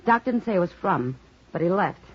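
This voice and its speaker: A woman's voice: on the low side, lively intonation, normal speed, husky. An American actress playing a forthright, anxious, strong-willed frontier saloon woman in a 1950s radio western, direct and insistent. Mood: concerned. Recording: muffled old radio recording.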